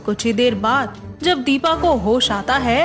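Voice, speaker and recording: A woman narrates a scripted story at a slow, measured pace. Her voice is slightly high, with soft background music under the telling. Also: lively intonation.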